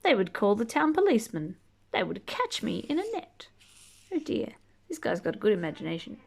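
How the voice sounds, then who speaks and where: A woman reading a rhyming children's book aloud expressively, drawing out key words and chatting gently to young listeners. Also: medium pitch, vocal fry. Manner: animated, drawn-out key words, gentle questioning asides. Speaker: storybook reader reading to children.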